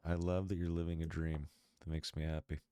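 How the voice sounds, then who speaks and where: Stuffy-nosed man with a very deep voice. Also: level tone, a little fast, clear, resonant tone, no room sound. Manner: laid-back, joking, a bit quiet. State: stuffy nose from a cold. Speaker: host. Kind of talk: casual chat before a tabletop game. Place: dark room at home.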